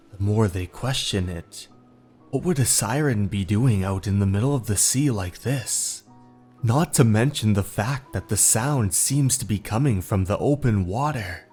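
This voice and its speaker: Slow, low-voiced male horror narrator. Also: a little mellow. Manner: measured, dramatic storytelling. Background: faint music.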